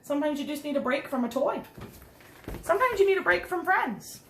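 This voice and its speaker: High-voiced woman reading a picture book, roomy sound. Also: a little fast, a little hiss or hum. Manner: expressive, simple explaining, asking children questions. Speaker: preschool teacher. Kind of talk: children's picture book read-aloud with chatty asides.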